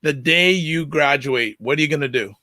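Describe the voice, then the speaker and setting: A man's voice: fairly high pitch, normal speed, pushed and bright, dry recording. A man hosting an interview show, friendly and playful, often stumbling over his questions. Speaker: show host.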